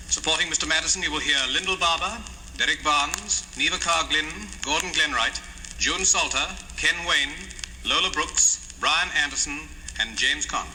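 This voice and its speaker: A man's voice: a little high, pushed and bright. A man hosting a 1950s radio drama, giving a formal, stately, scripted introduction. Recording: hissy old radio recording, long hall reverb.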